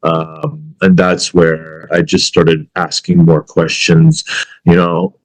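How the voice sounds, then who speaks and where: Deep-voiced man in his thirties. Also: flat delivery, slow, rough recording. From United States. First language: Arabic. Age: 30s. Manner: reflective, many fillers and restarts. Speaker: podcast guest. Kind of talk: casual interview chat.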